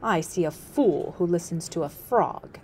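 A woman's voice: lowish voice, lively intonation, darkish tone, a little hiss or hum. A woman playing a scornful buffalo chief. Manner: slow, dismissive snorting.

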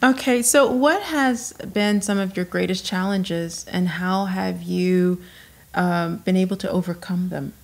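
A woman moderating a stage panel, relaxed and joking as she poses questions. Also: lowish voice, a little slow, vocal fry. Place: stage of a concert hall, in front of an audience.

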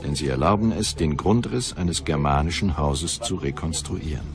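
A man narrating a scripted historical documentary in a measured, serious and dramatic manner. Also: rumbling low, creaky. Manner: measured, serious, dramatic present-tense telling. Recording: music behind the voice. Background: music bed.

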